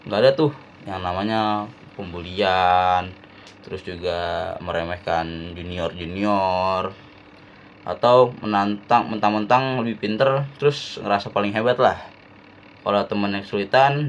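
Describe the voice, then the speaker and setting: A young man from Jakarta, a university student giving a relaxed, slangy class-assignment talk with frequent fillers. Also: fairly low pitch, a little slow, a little hiss or hum. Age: young adult.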